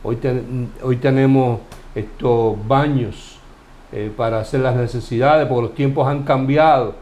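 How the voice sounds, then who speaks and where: Cuban guy doing a bible study chat, asks questions, lots of restarts, middle pitch. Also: fairly even intonation, unhurried, a little mellow. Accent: Cuban Spanish. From Cuba. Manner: questioning, explanatory, frequent restarts. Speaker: Bible teacher.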